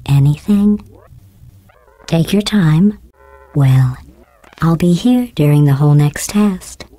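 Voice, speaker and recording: A woman voicing a cold, sarcastic female AI computer in a video game, speaking deadpan insults through a synthetic robotic filter. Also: low-pitched, slow. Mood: deadpan mocking. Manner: deadpan, passive-aggressive insults in a calm even tone. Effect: synthetic robotic voice processing. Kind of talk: game voice lines. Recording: dry close studio recording.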